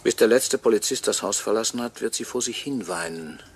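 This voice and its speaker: An actor playing a bitter murder victim who narrates a radio crime drama with brooding, sardonic deliberation and a gravelly voice. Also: male voice, fairly low pitch, a little fast. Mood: resigned, brooding. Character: bitter murdered watchmaker's employee, narrating from beyond death.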